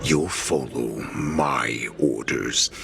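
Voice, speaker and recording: An actor playing a menacing, scornful alpha wolf warlord in a video game, with a gravelly, imperious delivery. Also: male voice, music in the background, heavily acted. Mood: commanding. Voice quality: deep gravelly. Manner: slow, imperious, deliberate. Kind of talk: dramatic video game voice acting.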